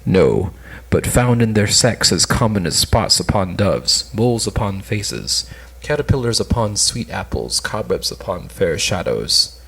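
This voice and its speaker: A man reading as a husky, lovesick young courtier. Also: lowish voice, big pitch swings, unhurried, few pauses, a bit bright, exaggerated voice, rough recording. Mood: guarded. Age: young adult. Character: lovesick young male courtier, devoted, lofty. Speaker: student reader in an amateur play reading. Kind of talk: cold read of Elizabethan stage comedy.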